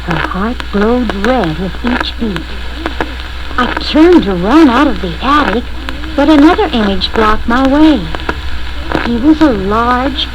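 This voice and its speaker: A woman narrating a spooky children's read-along story in the first person, deliberate and expressive, on a scratched 1970 record. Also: a little high, noisy background.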